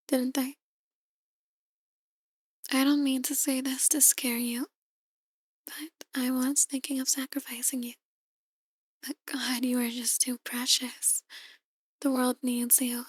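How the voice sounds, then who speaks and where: A woman performing an ASMR roleplay as a soft-spoken, possessive female demon, coaxing in a hushed, breathy voice that often slips into whispers. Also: high voice, no echo, exaggerated voice.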